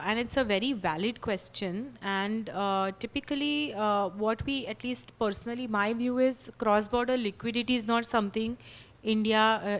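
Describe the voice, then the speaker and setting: A woman on a panel explains legal points in a measured, careful way. Her voice sits a little high, and she keeps checking in with her listeners as she talks. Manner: measured, explanatory, frequent "you know" and "right?". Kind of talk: panel discussion answer.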